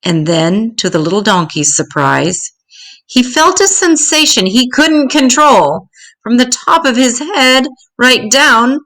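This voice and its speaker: An older woman reading a rhyming children's story aloud in an expressive, dramatic, deliberate way. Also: a little high.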